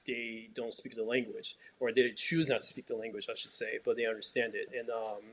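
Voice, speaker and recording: A man's voice: medium pitch, little pitch movement, brisk, a bit bright, lo-fi sound, a little hiss or hum. A man from Haiti, a filmmaker, answering interview questions thoughtfully, with many fillers and restarts.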